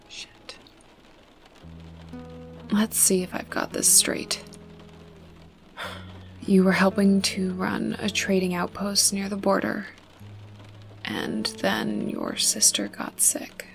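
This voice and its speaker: A woman playing a tough, guarded young female survivor in an ASMR roleplay, blunt and sarcastic, with a breathy voice that often drops toward a whisper. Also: medium pitch, slow pace, pauses often, soft background music. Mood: guarded, probing. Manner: wary, sarcastic, blunt, cursing. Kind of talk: ASMR roleplay, close intimate acting to the listener.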